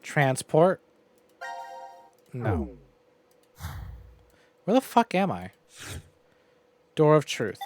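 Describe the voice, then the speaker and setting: A man's voice: on the high side, very animated, drawn-out words, long pauses, faint music behind. A man giving casual live-stream commentary on a game, sweary and thinking aloud.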